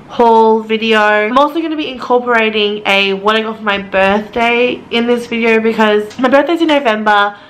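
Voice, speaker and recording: A young woman, chatting in a casual haul video from her bedroom, a little raspy from being sick and bubbly in her telling. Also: moderate pace, hardly any pauses, slight background noise. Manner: chatty and bubbly, rising into high excited quotes. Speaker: beauty vlogger. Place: bedroom, indoors. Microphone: camera close up, facing viewer.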